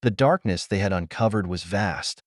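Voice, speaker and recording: A man's voice: deep voice, normal speed, dry recording, clean studio sound. A man reading a science fiction adventure story as an audiobook narrator, in a dramatic, earnest manner.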